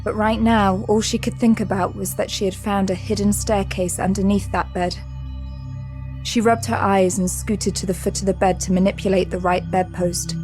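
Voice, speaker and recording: Female narrator for scripted audio fiction, medium pitch, clear musical underscore. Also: little pitch movement, normal speed, over music.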